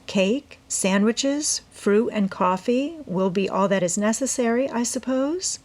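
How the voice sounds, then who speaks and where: A woman voicing a dignified, indulgent and practical mother with a measured, questioning manner in a storybook reading. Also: a little high, a little mellow, voice pushed up. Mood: practical, sober.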